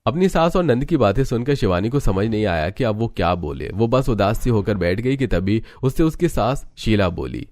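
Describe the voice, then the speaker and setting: A man's voice: fairly low pitch, very animated, moderate pace, few pauses, darkish tone, no room sound. A man narrating a scripted family drama story as its dramatic storyteller.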